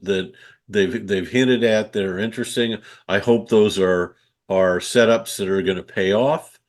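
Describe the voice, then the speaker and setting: A man with a fairly low voice gives spoken feedback as a reviewer. He speaks off the cuff, hesitating and circling back over his phrases as he goes. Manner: halting, hesitant, repeating phrases. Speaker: film industry reviewer.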